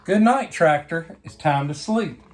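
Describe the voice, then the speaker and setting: A woman reading a picture book aloud to a young child, pausing to ask simple questions. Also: sits low, animated, a little mellow, faint music behind. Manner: simple questions and prompts addressed to a small child. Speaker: bedtime story reader.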